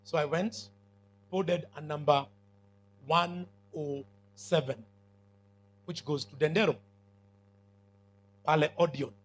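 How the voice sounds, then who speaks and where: A Kenyan man preaching with a big, commanding voice that sits high and husky. He delivers slow, deliberate lines with bright edges and keeps checking whether his listeners are following. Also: steady tone. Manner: emphatic, with call-and-response questions. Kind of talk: sermon to students.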